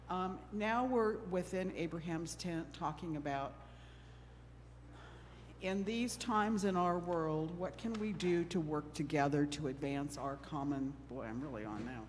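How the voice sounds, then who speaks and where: A middle-aged woman from Oregon moderating a church panel discussion, chatty and a bit nervous, joking at her own expense. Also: low voice. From Oregon, USA. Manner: nervous, self-deprecating, chatty with laughs. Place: church hall. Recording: long hall reverb with faint noise.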